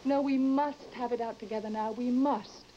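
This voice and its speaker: An actress playing a worried, insistent wife in a vintage broadcast drama, speaking in an earnest, pressing, emotional way. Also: female voice, fairly high pitch, fairly even intonation, moderate pace, natural voice. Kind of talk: vintage mid-century broadcast drama acting. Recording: dull, noisy vintage broadcast recording.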